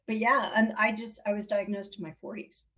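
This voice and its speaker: Animated woman around fifty. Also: mid-range voice. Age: 50s. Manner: open, animated, quick to laugh. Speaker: guest. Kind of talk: casual conversation.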